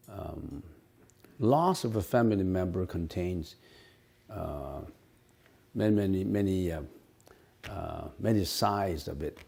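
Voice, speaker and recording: A man whose first language is Cantonese, a Buddhist teacher giving an unscripted dharma talk in halting speech with many fillers and restarts. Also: sits low, gravelly, dark tone, husky.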